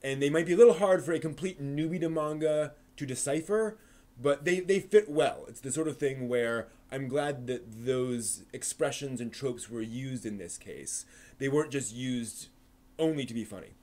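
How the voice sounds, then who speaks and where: A man with a mid-pitched voice speaks as a live stream host. He talks informally through a review, backing up to reword his points as he goes. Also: normal speed, darkish tone. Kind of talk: casual live review monologue.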